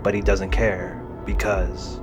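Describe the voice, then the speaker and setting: A man narrates a dramatic story from a script. His voice sits fairly low, and music plays clearly underneath him. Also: level tone, takes it slowly.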